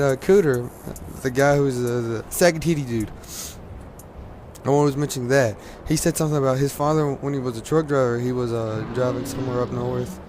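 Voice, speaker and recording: A hoarse teenage man from Louisiana, rambling casually with lots of fillers and laughs while commenting on a game at a desk mic. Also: medium pitch, darkish tone, a little hiss or hum. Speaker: gaming commentator.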